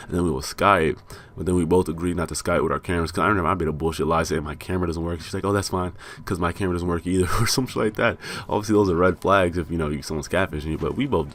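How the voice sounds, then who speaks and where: A young American man telling a rambling, profane story about himself with self-mocking laughs, his voice a bit raspy from being high. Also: a little hiss or hum. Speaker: vlogger. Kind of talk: casual storytelling.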